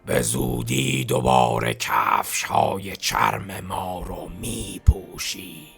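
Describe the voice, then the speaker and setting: A man voicing a cruel, mocking male voice inside a detective's head in a fan game dub, with a gravelly, breathy whisper and a scornful, needling delivery. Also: rumbling low, sing-song, a bit bright, faint music behind, heavily acted. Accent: Persian.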